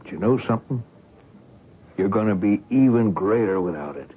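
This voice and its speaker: An actor playing a protective middle-aged father and sports coach in a radio drama, with colloquial, fussing, halting speech. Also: fairly low pitch, lively intonation, husky. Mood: wry, knowing. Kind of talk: vintage radio drama acting. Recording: tinny, noisy old radio broadcast.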